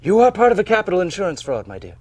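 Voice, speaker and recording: Cold, domineering Victorian villain, swinging pitch. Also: male voice, huge pitch swings, no echo, full character voice. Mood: impatient, stern. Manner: deliberate, commanding, theatrical delivery. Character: smooth, cold, domineering Victorian male swindler and killer. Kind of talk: stage play acting. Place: theater stage with live audience.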